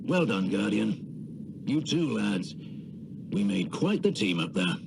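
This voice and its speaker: A man's voice: fairly low pitch, sing-song, slow pace, creaky, full character voice. A man playing a seasoned, courteous veteran soldier in a video game, composed and dryly wry. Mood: wry, pleased. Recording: loud background noise.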